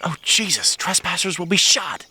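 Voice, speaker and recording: A nervous sidekick, lively swooping pitch. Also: male voice, moderate pace, slight background noise, heavily acted. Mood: wry, nervous. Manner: hesitant, rambling, wry. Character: nervous, affable, wry male sidekick. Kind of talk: audio drama acting.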